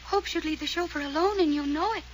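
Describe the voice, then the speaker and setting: A woman's voice: high-pitched, fast, natural voice. An actress playing a tattling, girlish young granddaughter in a radio serial, childlike and forever protesting. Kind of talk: 1940s radio serial acting. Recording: noisy vintage radio serial recording.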